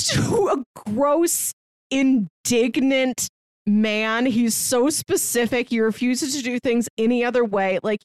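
A woman's voice: on the high side, dry recording, clean studio sound. A woman co-hosting a podcast, casually discussing film and retelling things by acting out imagined lines. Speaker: podcast co-host.

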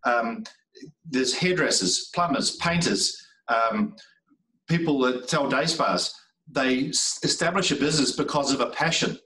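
A man's voice: medium pitch, a little slow, bright, forceful tone. A man, a sales trainer talking casually as a podcast guest, emphatic and repeating words for effect. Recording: roomy, distant from the mic.

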